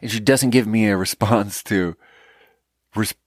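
A man's voice: on the low side, measured pace, no echo, clean studio sound. A man from Sweden, hosting a podcast, telling a rambling, profane story in casual chat and laughing at his own jokes. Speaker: podcast host.

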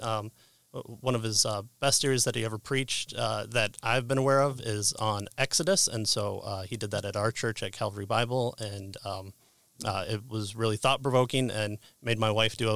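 A man hosting an interview podcast, introducing a guest in a halting way with many fillers. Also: on the low side, creaky, fairly bright tone, no room sound. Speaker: podcast host.

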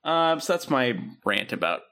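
A man's voice: mid-range voice, very animated, normal speed, bright, forceful tone, smooth. A man co-hosting a podcast, talking casually and rambling with lots of ums and likes. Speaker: podcast co-host. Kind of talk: casual chat.

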